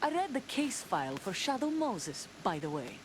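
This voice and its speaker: A woman's voice: mid-range voice, very animated, moderate pace, noisy background, lightly acted. A woman playing a defiant, hostile young soldier. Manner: hostile, confrontational. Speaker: mercenary commander. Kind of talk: video game cutscene acting.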